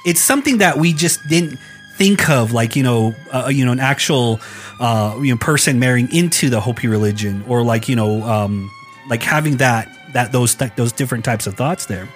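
A man's voice: medium pitch, moderate pace, faint music behind. A man co-hosting a podcast in a studio, joking and rambling with lots of fillers in casual chat. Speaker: podcast co-host.

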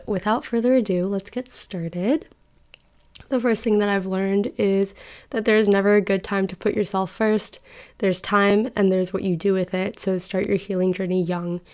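A woman's voice: medium pitch, steady tone, moderate pace, a little mellow. A woman in her twenties hosting a solo podcast, talking through life advice in an earnest, chatty and reflective way into a podcast microphone. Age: 20s. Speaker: podcast host.

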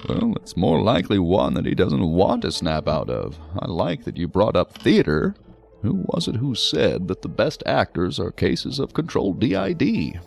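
An actor playing a talkative, learned psychiatrist who muses and explains at length in a science fiction audio drama. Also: male voice, low-pitched, very animated, moderate pace, creaky, darkish tone, exaggerated voice. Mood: engaged, explanatory. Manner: wordy, musing, explanatory. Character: male psychiatrist, talkative, learned, genially hedging.

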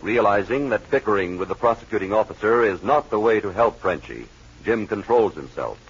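A man's voice: lowish voice, expressive, a little fast, slight background noise. A man narrating and announcing in dramatic, emphatic 1940s radio style. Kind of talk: 1940s radio scripted narration and sponsor read. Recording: muffled, husky-sounding old radio recording.

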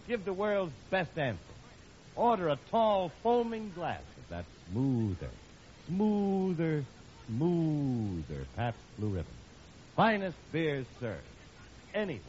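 A man's voice: on the high side, big pitch swings, takes it slowly, a little mellow. An American man announcing and advertising on 1940s radio with a polished, emphatic salesman's delivery. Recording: dull, noisy old radio recording.

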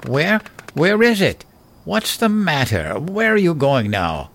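A man playing a gentle, bereaved elderly husband and father in a horror audio drama, hesitant and pleading. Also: a little high, very animated, slow, heavily acted. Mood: alarmed, reluctant.

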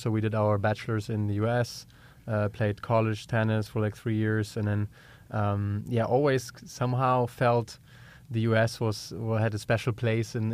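A man's voice: on the low side, darkish tone. A German man in his thirties with a German accent, a guest on a podcast, speaking hesitantly with many restarts. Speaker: guest, company co-founder. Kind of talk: casual interview talk.

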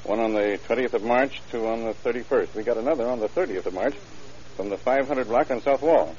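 An actor playing a matter-of-fact male police detective in an old radio drama, laying out facts in a dry, deliberate way. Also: mid-range voice, darkish tone, lightly acted. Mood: businesslike. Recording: muffled, hissy old radio recording.

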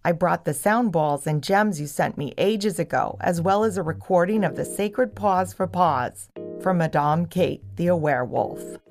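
A woman voicing a good-natured, eager male dog president in a children's story, warm and exclamatory. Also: on the low side, measured pace, faint music behind. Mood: delighted. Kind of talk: children's storybook character reading.

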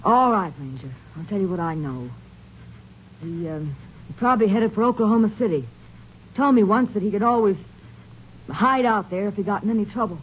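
A woman's voice: medium pitch, animated, fairly quick, pauses often, slight background noise, close to an everyday voice. An actress playing a defensive woman who evades questioning in a 1950s radio drama. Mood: guarded, defensive. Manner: guarded, defensive, evasive. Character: evasive, defensive woman hiding a fugitive. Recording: muffled old 1950s radio recording.

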